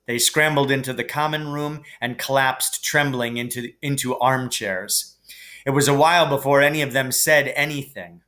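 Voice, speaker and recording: A man reading a children's story aloud as dramatic, deliberate, suspenseful narration. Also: mid-range voice, sharp tone. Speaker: reader.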